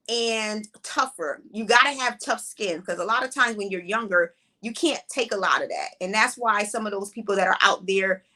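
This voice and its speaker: A woman in her forties, a playwright and director, answering interview questions in a relaxed, reflective, conversational way. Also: mid-range voice. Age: 40s.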